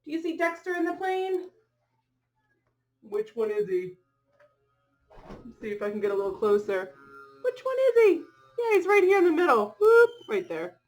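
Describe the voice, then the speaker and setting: A woman reading a storybook aloud to young children, expressively drawing out phrases and pausing to ask them questions. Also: very high-pitched, sing-song. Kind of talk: storybook read-aloud with asides to young listeners.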